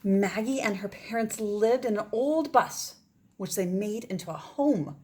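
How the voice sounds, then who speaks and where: A woman's voice: medium pitch, a little slow. Woman reading a picture book aloud. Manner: expressive, pointing out pictures to listeners. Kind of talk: children's read-aloud with casual asides.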